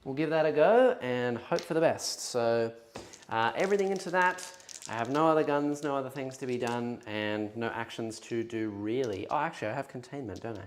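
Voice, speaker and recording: Guy casually walking through his wargame turn, mid pitch, bit of faint noise and clicks behind. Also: brisk. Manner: chatty, explains rules and moves aloud while measuring. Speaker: wargaming battle report player. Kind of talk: casual tabletop game chat on stream.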